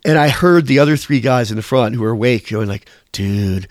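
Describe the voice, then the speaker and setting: A man's voice: medium pitch, brisk, no room sound, clean studio sound. A man being interviewed as a musician, telling candid, rambling stories and swearing casually. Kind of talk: candid conversational storytelling.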